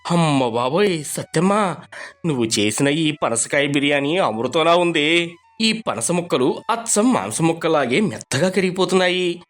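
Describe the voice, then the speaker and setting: A man voicing a poor, humble village laborer in an animated story, earnest and expressive. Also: a little high, normal speed, faint music behind. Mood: delighted. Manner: expressive, pleading. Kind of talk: cartoon storybook voice acting.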